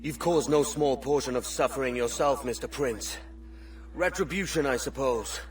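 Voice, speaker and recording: An actor playing a brash, roguish young pirate captain in a cinematic video game. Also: male voice, medium pitch, normal speed, slight background noise, close to an everyday voice. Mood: grim.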